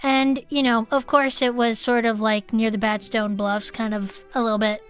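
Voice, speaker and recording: High-voiced woman telling a comic tale. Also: little pitch movement, moderate pace, soft background music. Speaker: podcast co-host. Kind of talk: casual comedic storytelling.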